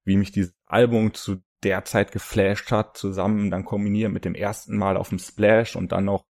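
Deep-voiced young man, dry sound. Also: little pitch movement, normal speed, vocal fry, darkish tone, no echo. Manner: easygoing, storytelling, many fillers. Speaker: podcast co-host. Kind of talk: casual chat.

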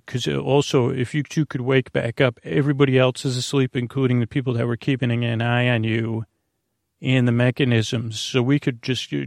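A man hosting a sleep podcast in a meandering monologue, halting often with ums, repeated words and trailing tangents. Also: mid-range voice, gravelly, no room sound, clean studio sound. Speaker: host of a bedtime sleep podcast. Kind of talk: rambling, meandering bedtime monologue.

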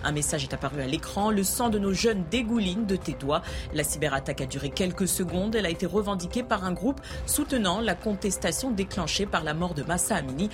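A woman presenting a news bulletin in a measured, even delivery. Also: on the low side. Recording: music bed under voice.

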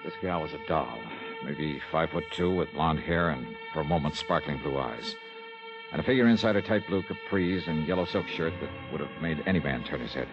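An actor playing a worldly, hard-boiled investigator in a 1960s radio drama, wry and matter-of-fact. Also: male voice, rumbling low, brisk. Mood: wry, grim. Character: worldly, hard-boiled male insurance investigator telling his case. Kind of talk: 1960s radio detective narration and dialogue. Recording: muffled old radio broadcast, music behind.

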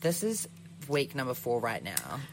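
A man's voice: medium pitch, huge pitch swings, low-quality recording. A man with a New Zealand accent co-hosting a podcast in casual, chatty and playful banter. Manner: chatty, playful, drawn-out teasing. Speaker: podcast co-host. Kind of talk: casual chat.

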